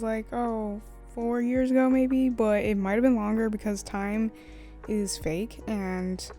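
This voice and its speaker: A young woman with a slightly hoarse voice, chatting casually in a voice-over about her art. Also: mid-range voice, warm tone. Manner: chatty, rambling, laughs often. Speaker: art YouTuber. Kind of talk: casual voice-over while drawing. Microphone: USB studio microphone.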